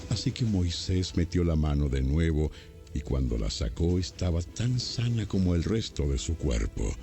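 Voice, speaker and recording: A man's voice: low voice, sing-song, normal speed, gravelly, warm tone, faint music behind. A man narrating a scripted, dramatized Bible audio.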